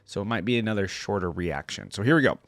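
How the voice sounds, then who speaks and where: A man's voice: lowish voice, fast, a little hiss or hum. A man giving casual reaction commentary as a video host, thinking aloud as he goes.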